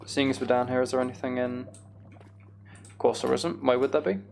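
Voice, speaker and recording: A man's voice: mid-range voice, fairly even intonation, a little fast, gravelly, darkish tone, slight background noise. A man giving casual, self-deprecating gameplay commentary, musing aloud as he goes. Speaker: gaming video host.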